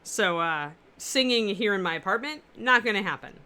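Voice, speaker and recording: A woman's voice: medium pitch, expressive, moderate pace, sharp tone. A woman hosting a miniature painting livestream from her apartment, chatting casually and answering viewer comments as she paints, with a powerful voice that carries. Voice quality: powerful, carrying voice.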